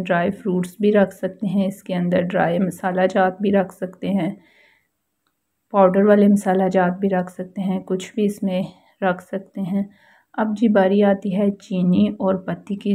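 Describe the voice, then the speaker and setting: A woman's voice: medium pitch, warm tone. A woman with a Pakistani accent giving a casual how-to voice-over as a home vlogger, explaining things politely and repetitively.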